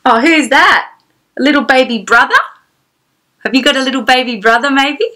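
A woman's voice: high voice, normal speed, bright, forceful tone. A woman in her thirties presenting a children's storytime, reading aloud in an exclamatory, sing-song way and putting questions to the young listener. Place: small decorated room indoors.

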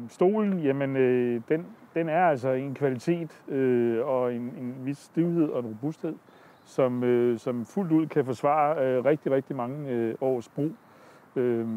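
An older man giving a plain, measured product review on a campsite lawn, speaking into a lapel mic. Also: mid-range voice, mellow, lo-fi sound. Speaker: product tester.

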